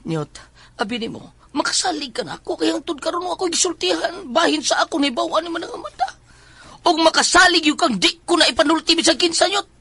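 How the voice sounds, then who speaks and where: A man voicing an excitable, nosy companion in a horror audio drama, with a Filipino accent and insistent, exclamatory talk. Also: very high-pitched, fast, no room sound, heavily acted. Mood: insistent, curious.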